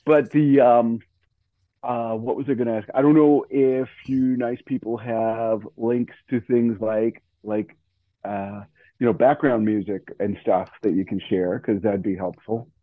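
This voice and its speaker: A man's voice: mid-range voice, steady tone, unhurried, dry recording. A man chatting casually in a hesitant, rambling way, heard over a thin phone-like connection. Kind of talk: casual chat.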